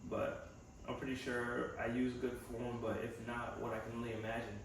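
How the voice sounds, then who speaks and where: A young man thinks aloud in a casual, reflective vlog style. His voice sits at a medium pitch with plenty of gravelly fry. It is recorded some way from the mic in a strongly echoing room. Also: monotone, normal speed. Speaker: vlogger and physical therapy assistant student. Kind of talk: casual personal vlog, chatting to camera. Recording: echoey room from a distance, faint noise.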